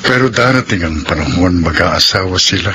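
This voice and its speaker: A man's voice: sits low, animated, fairly quick, sharp tone, a little hiss or hum. A man with a Filipino accent acting an earnest, patient middle-aged suitor in a radio drama.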